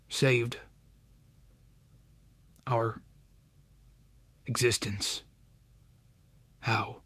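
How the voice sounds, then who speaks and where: Brief: man doing a stunned alien high admiral, slow word-by-word delivery, hushed and husky. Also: no room sound, full character voice. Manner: halting, one word at a time. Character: shaken four-eyed alien male high admiral. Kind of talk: audiobook drama.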